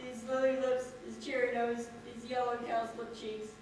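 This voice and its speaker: A man playing a grieving lovesick maiden on stage, in mournful, drawn-out, mock-tragic verse. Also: very high-pitched, monotone, darkish tone. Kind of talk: theatrical Shakespearean verse. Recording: echoey hall, distant, faint noise.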